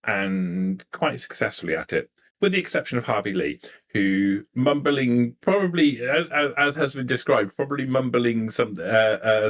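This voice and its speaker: Male game master, lower pitch, halting improvised narration, repeated phrases. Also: fairly bright tone.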